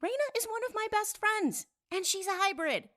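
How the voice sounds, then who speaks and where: A woman's voice: very high voice, lively intonation, normal speed, sharp tone, dry recording, clean studio sound, exaggerated voice. A woman acting a blunt, gossipy cafe customer in a fantasy audio drama. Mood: blunt, defensive. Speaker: voice actor in a fiction podcast.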